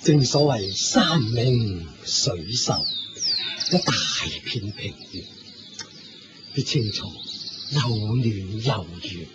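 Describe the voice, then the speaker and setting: A man playing an amiable older Indian villager in a radio drama, chatty, folksy and expressive. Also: lowish voice, slow pace, pauses often, over loud music. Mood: admiring, relaxed. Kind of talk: Cantonese radio drama acting. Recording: dull, noisy old radio recording.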